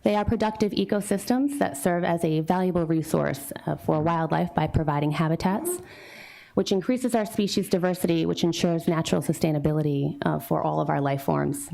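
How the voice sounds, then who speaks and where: A woman's voice: lowish voice, a little fast, creaky, mellow. A woman from Fall River, Massachusetts, a marine scientist giving a prepared public presentation at a town meeting. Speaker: water quality specialist, marine scientist. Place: town meeting room with a microphone.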